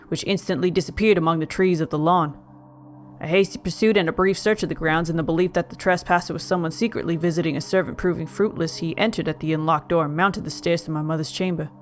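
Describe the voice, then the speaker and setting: An actor playing a haunted young gentleman, narrating a gothic tale in formal, melancholy, literary speech. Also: medium pitch, close to an everyday voice. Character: young man Joel Hetman Jr, mournful, haunted, genteel narrator. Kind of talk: dramatic first-person gothic audio narration.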